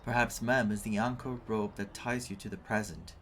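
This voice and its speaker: A man playing a serious, reserved and loyal young traveling companion in a video game, speaking in a measured, earnest, restrained way. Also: on the low side, fairly even intonation, fairly bright tone, slight background noise, lightly acted. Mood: grave, resolute. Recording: game music under the voice.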